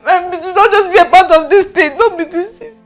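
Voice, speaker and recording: Tearful high-voiced Nigerian girlfriend. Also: lively intonation, a little fast, a bit bright, soft background music. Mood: tearful, pleading. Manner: plaintive, insistent. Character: hurt, insecure girlfriend fearing betrayal. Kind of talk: screen drama acting.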